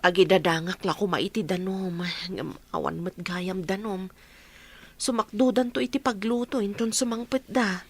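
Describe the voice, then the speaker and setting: Woman playing a tired sickly village mom in a radio drama, husky breathy voice. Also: medium pitch, fairly even intonation, normal speed, close to an everyday voice. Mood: weary, grateful. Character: weary, sickly village mother, long-suffering. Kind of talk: Filipino radio drama acting.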